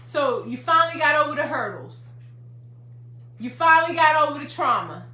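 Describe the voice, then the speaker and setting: A woman's voice: sits high, lively intonation, moderate pace, sharp tone, roomy sound, rough recording. A woman in her fifties, a counselor and life coach, giving an emphatic, repetitive motivational talk to a livestream audience. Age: 50s.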